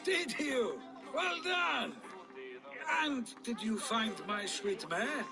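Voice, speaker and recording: Casting: loyal Viking deputy, male, notably high voice, polite, earnest, a bit put-upon, game drama with music behind. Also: very animated, takes it slowly, creaky, full character voice. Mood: relieved, grateful. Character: Magni, courteous, troubled Viking town deputy, loyal man. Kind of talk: dramatic video game acting.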